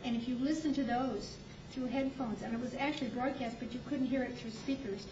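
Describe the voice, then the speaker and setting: Higher-voiced woman, far off in echoey room. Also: fairly even intonation, brisk. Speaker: audience member. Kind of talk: animated anecdote from the floor. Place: club meeting room. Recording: echoey, muffled, noisy room recording from far off.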